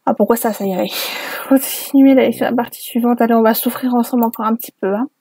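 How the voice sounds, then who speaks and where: A woman's voice: a little high, fairly even intonation, quick pace, dark tone, breathy edge, no room sound. A woman reading aloud and breaking off for sarcastic, exasperated asides. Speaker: video host reading fan fiction aloud. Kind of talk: mocking read-aloud with spoken asides.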